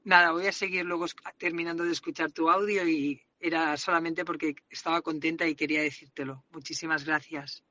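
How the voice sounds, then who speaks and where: A woman's voice: deep voice, level tone, fairly quick, hardly any pauses, sharp tone. A woman with a Catalan accent sending a grateful, reflective voice message while driving. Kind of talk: recorded voice message. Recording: muffled voice message from a car.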